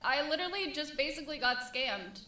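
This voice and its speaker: High, tearful woman venting, echoey. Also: expressive, fast talker, pushed and bright. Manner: upset complaining with sighs. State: exhausted and tearful. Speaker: streamer. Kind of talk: venting on stream. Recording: echoey room, distant mic, faint music.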